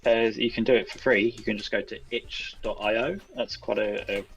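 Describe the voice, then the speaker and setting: Low, very creaky man chatting informally. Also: steady tone, normal speed, muffled recording. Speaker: game developer guest. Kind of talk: casual explanatory interview chat.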